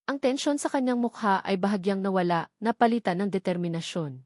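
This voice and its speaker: A woman reading a scripted dramatic story in a measured, earnest way. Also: mid-range voice, a little mellow, no room sound, clean studio sound. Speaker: story narrator.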